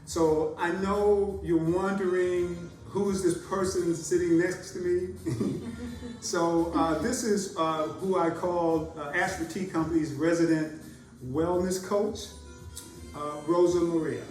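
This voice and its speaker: A man's voice: sits high, steady tone, darkish tone, faint music behind. A man hosting a casual podcast, his talk full of "uh" fillers. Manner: halting, many "uh" fillers. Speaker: podcast host. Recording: roomy sound from a distant mic.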